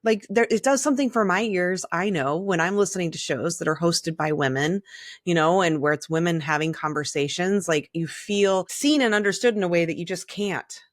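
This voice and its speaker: A woman's voice: on the low side, brisk, no echo. A woman co-hosting a casual podcast, talking candidly and enthusiastically with rambling asides, close to the mic. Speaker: podcast co-host. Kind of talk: casual conversational podcast chat.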